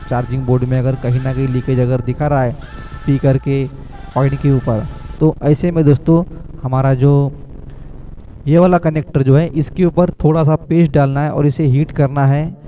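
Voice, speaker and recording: Man with a very dark voice. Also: medium pitch, fairly even intonation, normal speed, soft background music, low-quality recording. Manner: explains step by step, often says "friends" to viewers. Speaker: mobile repair technician. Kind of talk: phone repair tutorial.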